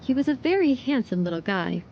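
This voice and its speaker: A woman's voice: sits high, very animated, a little fast, lo-fi sound, a little hiss or hum. A woman narrating an audio drama from a script as its storyteller.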